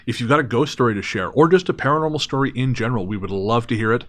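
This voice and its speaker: A man in his mid-thirties talks as a podcast host, relaxed and conversational. He sounds sincere and slips in wry, joking asides. His pitch sits in an average range for a man. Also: very fast. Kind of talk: casual podcast chat.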